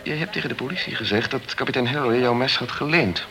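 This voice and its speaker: A man's voice: medium pitch, quick pace, bright, forceful tone. A man playing a dogged, probing insurance investigator in a crime radio drama, questioning insistently and coolly persuading. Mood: probing, insinuating. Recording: noisy radio drama recording.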